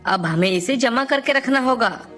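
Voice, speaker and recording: A woman's voice: a little high, animated, normal speed, fairly bright tone, faint music behind. A woman voicing a confident, obedient young son of a farmer in an earnest storybook style. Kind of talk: dramatized children's folk tale dialogue.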